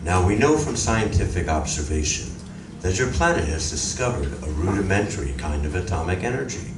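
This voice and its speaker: Man acting a deep-voiced, grave alien visitor. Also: big pitch swings. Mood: grave warning. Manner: measured, formal, deliberate. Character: calm, grave alien visitor, male, politely threatening. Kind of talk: live radio drama acting. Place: library community room, live performance. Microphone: audience member's distant recorder. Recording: echoey room from far off, noisy.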